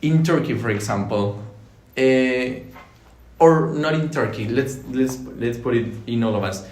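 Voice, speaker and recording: A young man from Colombia with a Colombian Spanish accent, hosting a casual student podcast and often pausing to search for words. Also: mid-range voice. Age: young adult. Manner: relaxed, searching for words, hesitant with fillers. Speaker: podcast host, architecture student. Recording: echoey room.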